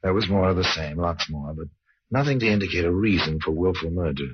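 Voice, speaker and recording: Very deep, ominous radio crime narrator played by a man. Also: close to an everyday voice. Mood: wry, ominous. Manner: deliberate, sardonic, theatrical. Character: wry, ominous older male storyteller of true crimes. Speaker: narrator and host of a crime anthology. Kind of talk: old-time radio mystery narration. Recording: dull, noisy old radio recording.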